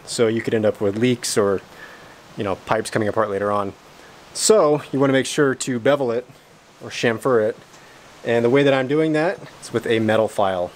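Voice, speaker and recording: A man's voice: mid-range voice, moderate pace, slight background noise. A man in his thirties, a van build vlogger, casually explaining his DIY plumbing work to a close handheld camera in a garage workshop, chatty and self-deprecating. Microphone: handheld camera close to face.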